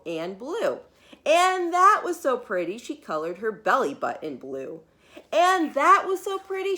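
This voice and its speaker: A woman reads a picture book aloud to young children. Her voice is bright and swings freely up and down. She is expressive and emphatic, leaving dramatic pauses as she tells the story. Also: fairly high pitch. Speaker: preschool teacher reading a children's book aloud.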